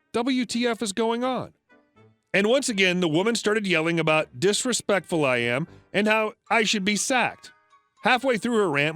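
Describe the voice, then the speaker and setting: A man narrating reddit stories in a scripted read, flat and even with sudden pitch jumps.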